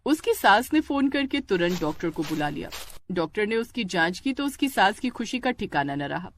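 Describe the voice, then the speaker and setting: A woman's voice: mid-range voice, sing-song, moderate pace, a little hiss or hum. A woman reading scripted narration for a family drama story.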